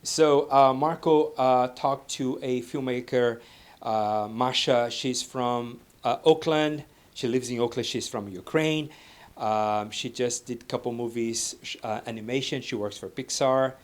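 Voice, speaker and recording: A man's voice: medium pitch, a little slow. Enthusiastic man co-hosting a show. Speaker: show co-host. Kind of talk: casual hosted show intro.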